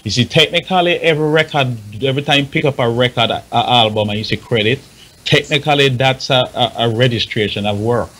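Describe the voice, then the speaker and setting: A man with a Jamaican accent explaining things informally on a talk show, halting and repeating himself for emphasis. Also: medium pitch, fairly bright tone. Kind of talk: informal explanatory radio discussion.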